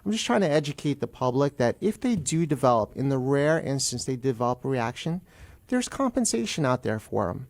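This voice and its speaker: A man's voice: mid-range voice, very animated, a little fast. A man being interviewed live on radio as a guest attorney, speaking carefully and qualifying his opinions.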